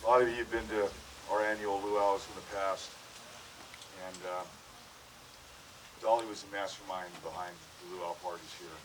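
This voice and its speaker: A man's voice: low-pitched, fairly even intonation, moderate pace, pauses often, background noise. A man in his sixties, born in Hawaii, giving a casual, joking memorial speech outdoors on a handheld mic, with many fillers and restarts. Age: 60s. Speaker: widower hosting a memorial gathering. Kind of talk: casual heartfelt speech to a crowd. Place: outdoor backyard gathering. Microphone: handheld microphone. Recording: distant mic with crowd around.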